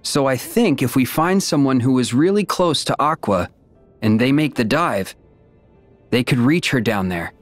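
A man voicing a loyal, steady young warrior in a video game, calm and understated. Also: medium pitch, normal speed, no echo, soft background music, close to an everyday voice. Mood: serious. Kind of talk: animated video game acting.

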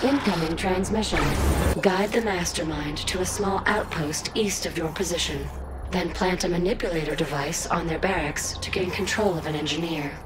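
A woman's voice: lowish voice, moderate pace, a loud crowd, lightly acted. A woman voicing a cool, commanding alien war computer in a strategy game, making clipped, impersonal, formal announcements. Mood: cool, detached. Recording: loud game music behind.

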